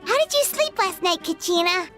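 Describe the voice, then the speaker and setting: A tiny childlike fairy companion in a video game, extremely high and lively. The delivery is chirpy, cheerful and supportive. Loud music plays behind the voice. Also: female voice, moderate pace.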